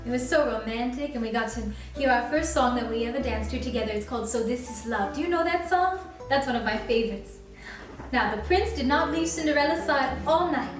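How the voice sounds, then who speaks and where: A woman's voice: on the high side, brisk. A woman reading a storybook expressively to young children as a princess character, sweet and encouraging, inviting them to join in. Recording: very echoey, distant mic, faint music.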